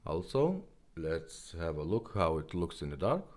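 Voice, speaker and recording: A man who is not a native English speaker, giving a casual product demonstration in a halting, simple step-by-step way. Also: deep voice, big pitch swings, darkish tone, a little hiss or hum. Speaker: presenter of a vintage car radio demonstration.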